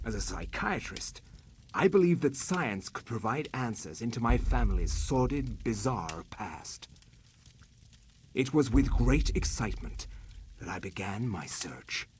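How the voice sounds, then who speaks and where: A man voicing a scholarly psychiatrist who speaks and narrates in a calm, measured way. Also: on the low side, noisy background.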